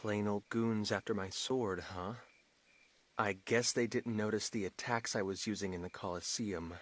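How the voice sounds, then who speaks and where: A man's voice: on the low side, fairly even intonation, normal speed, close to an everyday voice. An actor playing a brooding, earnest young male hero in a dramatic video game. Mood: guarded, defiant.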